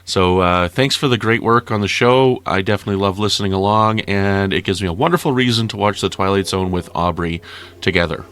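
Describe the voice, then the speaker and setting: A man's voice: deep voice, a little fast, fairly bright tone, clean tone, no echo. A middle-aged man from Canada chatting casually and prompting with questions as he records listener feedback. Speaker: listener sending audio feedback. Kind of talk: casual review chat with his child.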